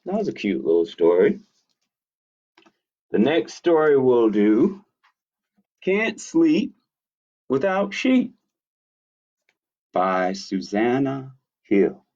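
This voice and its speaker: Male children's storytime reader: slow, very animated delivery with picture asides, slightly muffled lo-fi sound. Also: medium pitch. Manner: expressive, commenting on pictures. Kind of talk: reading picture books aloud to children with asides. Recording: dull lo-fi audio.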